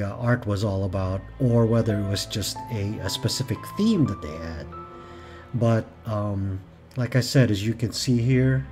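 A man in his forties hosting a casual winery travel vlog, talking in a relaxed, rambling way full of ums and uhs, filmed on a phone at arm's length on an outdoor patio. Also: fairly low pitch. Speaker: travel vlogger.

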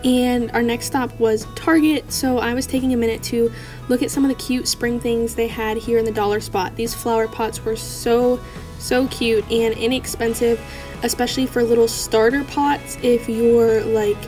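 Young adult American female vlogger, informal and chatty, higher voice, light music underneath. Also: level tone, moderate pace, a little mellow. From United States. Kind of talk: casual vlog chat.

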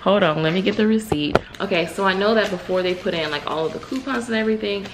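A woman's voice: mid-range voice, fast talker, background noise. A woman in her thirties chatting casually to her viewers about her groceries at home, with lots of fillers. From United States. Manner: chatty, talks straight to the viewers with many fillers. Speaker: vlogger. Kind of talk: casual grocery haul vlog. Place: bright room at home, indoors.

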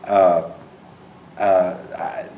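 A man's voice: deep voice, little pitch movement, very slow, highs cut off, slight background noise. A man in his thirties, a theater director, answering interview questions earnestly and often repeating words as he searches for them. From Texas. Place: empty theater auditorium. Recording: roomy, distant sound in a theater.

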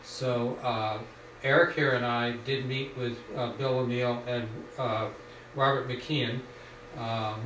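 A man at a board meeting table, giving a halting report with many fillers, recorded from a distance in a small studio room. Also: mid-range voice, steady tone, a bit bright. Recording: roomy, distant, faint hiss.

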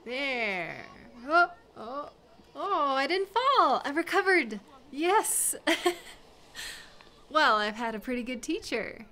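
A woman acting a giggly, high-voiced flirty beginner skater. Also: slow pace, long pauses, heavily acted. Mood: playful, hopeful. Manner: giggly, hesitant, chatty, with excited exclamations. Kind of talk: intimate audio roleplay, one-sided conversation.

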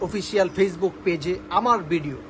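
A man's voice: high voice, normal speed. A man makes a promotional announcement to viewers. Faint noise sits behind him.

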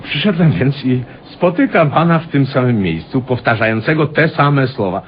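A man's voice: medium pitch, animated, normal speed, natural voice. An actor in a radio play as a polite, inquisitive man, courteous and deferential while he probes. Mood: apologetic, curious. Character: polite, inquisitive male stranger, amateur student of people. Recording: muffled old radio recording, faint hiss.

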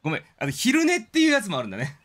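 A man's voice: high-pitched, huge pitch swings, very fast, fairly bright tone. A man doing casual game commentary, playfully chatting and thinking aloud as he streams.

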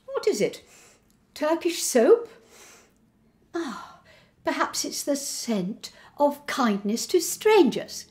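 A woman playing a senile, resentful old Victorian mother in a dramatic monologue, haughty and aggrieved with theatrical pauses. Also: on the high side. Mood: wondering, wistful.

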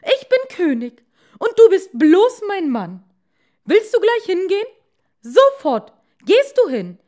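A woman's voice: extremely high pitch, very animated, takes it slowly, warm tone, pitched above the performer's own voice, heavily acted. A woman voicing a greedy, imperious fisherman's wife in a fairy tale, impatient and demanding. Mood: furious, commanding.

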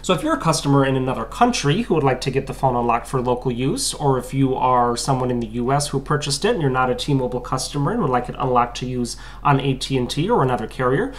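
A young man hosting a tech video, calmly walking through a phone unlock in a small room, recorded on the camera's mic. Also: mid-range voice, quick pace, hardly any pauses. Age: young adult. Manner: matter-of-fact, explanatory. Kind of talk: tech demonstration walkthrough.

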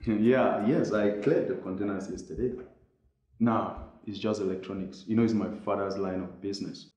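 A man's voice: fairly low pitch, steady tone, moderate pace, a little mellow, faint music behind, lightly acted. Casting: a man as a condescending older brother, recorded in a roomy, echoing space. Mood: casual. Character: smug older brother, dismissive of his sister.

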